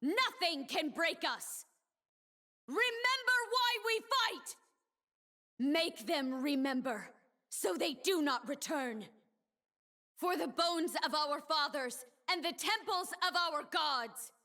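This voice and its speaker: Proud young warrior woman, game character, fairly high pitch, deliberate pace, solemn, defiant. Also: expressive, bright, forceful tone, full character voice. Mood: rallying, fierce. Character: proud, stern young woman warrior, a blade dancer defending her homeland. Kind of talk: video game character voice lines.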